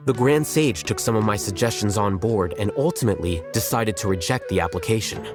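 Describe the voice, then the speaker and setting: A man playing an aloof, coolly logical young scholar in a video game, speaking in a measured, formal, matter-of-fact way. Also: on the low side, close to an everyday voice. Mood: detached. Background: game music.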